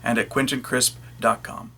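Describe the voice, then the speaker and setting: A man introduces a performance as its writer and actor. His voice is bright and crisp-edged, sitting at a middle pitch. The delivery is presentational, addressed to viewers. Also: little pitch movement, moderate pace. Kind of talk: presentational spoken introduction to camera.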